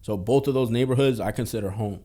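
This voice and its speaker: Fast-talking young man, candid. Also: mid-range voice, warm tone. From Boston. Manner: streetwise, candid, heavy swearing. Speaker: podcast guest. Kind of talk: casual podcast conversation.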